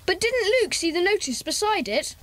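A woman's voice: very high-pitched, very animated, moderate pace, fairly bright tone, exaggerated voice. A woman playing an earnest, plucky schoolboy club leader in a children's audio drama.

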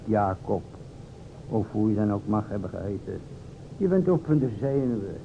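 An actor playing a solemn, theatrical investigator in an old radio drama, speaking formally and deliberately to a widow in a gravelly voice. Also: male voice, natural voice. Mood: insinuating, polite. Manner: formal, deliberate, dramatic storytelling with pointed pauses. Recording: vintage radio, narrow band, hiss and rumble.

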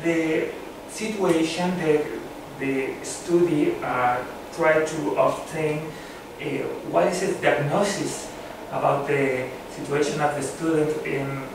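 A man with a Chilean Spanish accent giving a conference talk, halting, with many fillers and restarts. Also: fairly high pitch, steady tone. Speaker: conference presenter. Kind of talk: academic conference presentation. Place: seminar room with a long table. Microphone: far camera. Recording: echoey room from far off, background noise.

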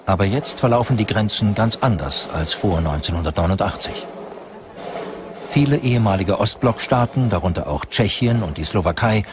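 A man's voice: lowish voice, background noise. A man reading scripted documentary narration in a sober, matter-of-fact manner.

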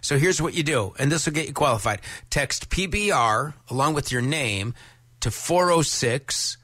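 A man's voice: fairly high pitch, animated, moderate pace, no room sound, studio quality. A man in his fifties working as a country radio DJ, chatting casually in a folksy, jokey, conversational way between songs. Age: 50s. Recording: loud music under the voice. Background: music bed.

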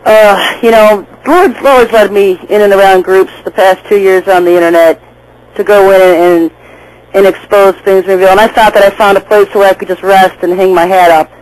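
A woman's voice: medium pitch, steady tone, brisk, darkish tone, dry recording, low-quality recording. A woman hosting a call-in radio show, chatty and laughing easily, often restarting her sentences.

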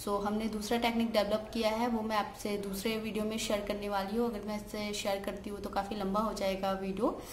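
A woman's voice: medium pitch, level tone, fairly quick. Hesitant young woman in an echoey room. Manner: hesitant, many "uh" fillers, mixes English words. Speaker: salon owner. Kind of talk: casual talk to camera. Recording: echoey room, faint background hiss.

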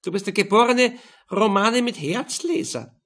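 Man putting on a brash Viennese prostitute, pitched high. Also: expressive, normal speed, fairly bright tone, slightly rough, exaggerated voice. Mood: sardonic. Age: middle-aged. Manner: blunt, teasing, slangy. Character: brash, mocking middle-aged Viennese prostitute, a tall woman. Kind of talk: audiobook character voice.

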